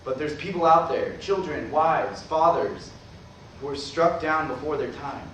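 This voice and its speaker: A man's voice: on the high side, a little slow, fairly bright tone, dull audio. A man playing a dying, middle-aged former professor, dry and argumentative with his brother, in a staged play reading. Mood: earnest. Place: staged reading filmed in a roomy venue. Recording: echoey room, far mic, noisy.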